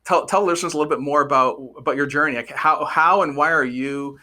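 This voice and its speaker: A man's voice: on the high side, fast talker, bright, forceful tone. A man hosting a podcast, upbeat and chatty, often stumbling and repeating words as he asks questions. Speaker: podcast host.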